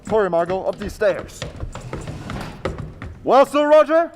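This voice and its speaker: A fearless investigator acted by a man in old-time radio style, bold and deliberate. The high voice moves with lively inflection and rings in a big reverberant hall. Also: music in the background. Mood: resolute. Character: Lamont, fearless calm male investigator confronting a ghost.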